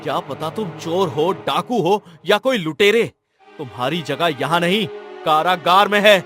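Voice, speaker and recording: A man's voice: a little high, expressive, measured pace, sharp tone, soft background music. A man voicing a stern, restless king in a cartoon story, with a commanding, haughty way of talking.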